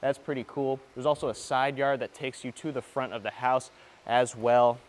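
A man's voice: mid-range voice, fairly even intonation, moderate pace. A man hosting a casual walk-through property tour as a real estate agent, speaking in an enthusiastic, informal, salesy way.

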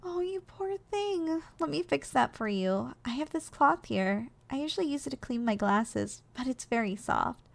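A woman playing a gentle, doting giantess secretary in an ASMR role-play, coaxing and nurturing in manner. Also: high-pitched, expressive, moderate pace, vocal fry, few pauses. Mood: doting, playful. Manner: soft, coaxing, nurturing, teasingly affectionate. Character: gentle, doting giantess secretary caring for her shrunken boss.

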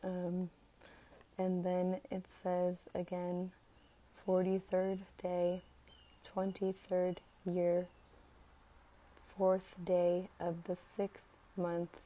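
Very dark, raspy American woman's voice. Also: on the low side, completely flat delivery, drawn-out words, pauses often. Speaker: religious content creator. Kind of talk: casual devotional vlog about scripture numbers.

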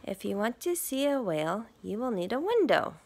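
A woman reading a picture book aloud to young children like a teacher, expressively, asking questions and dropping into hushed, breathy asides. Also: a little high, big pitch swings, moderate pace, slight background noise.

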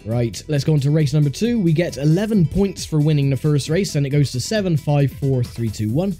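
A man's voice: fairly high pitch, animated, brisk, dark tone. A man with a congested, stuffy voice giving dry, wry commentary on a racing game he is playing. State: congested from a sinus infection. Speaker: gaming YouTuber. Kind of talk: casual gameplay commentary. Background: game music.

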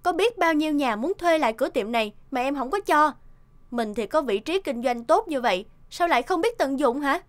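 A woman's voice: high-pitched, expressive, brisk. A woman voicing a nagging, practical young wife in a cartoon, scolding in an exasperated way. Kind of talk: cartoon voice acting.

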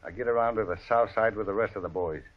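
A man's voice: on the low side, fast talker, lightly acted. An actor playing a tough, bullying henchman in an old-time radio western. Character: tough, bullying outlaw henchman, cowed by boss. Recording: muffled vintage radio recording.

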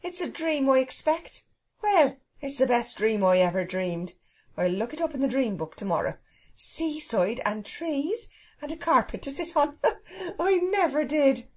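A woman's voice: high voice, expressive, unhurried, voice pushed up. Woman acting flustered, exclaiming storybook cook. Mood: amazed, amused. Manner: exclaiming, scolding, colloquial. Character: flustered, indignant working-class Irish woman cook.

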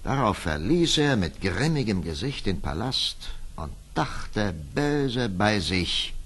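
Fairy-tale narrator: man, lowish husky voice, lively and expressive storytelling. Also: slow. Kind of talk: scripted fairy-tale narration for an audio play.

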